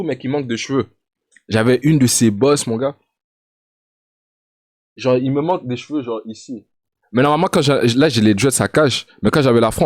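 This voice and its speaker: A young man, a reaction streamer, telling a story in casual, slangy with lots of restarts and sudden bursts of excitement. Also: mid-range voice, no echo, studio quality. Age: young adult.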